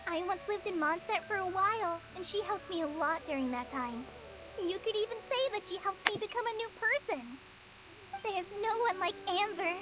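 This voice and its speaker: High-voiced, shy, earnest teenage girl. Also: moderate pace, a crowd in the background. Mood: admiring. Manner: hesitant, apologetic, sincere. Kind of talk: video game voice acting.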